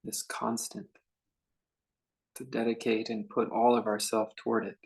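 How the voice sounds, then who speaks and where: A man leading a guided meditation as a teacher, speaking in a contemplative, unhurried way with long pauses between short phrases. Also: fairly low pitch, little pitch movement, vocal fry.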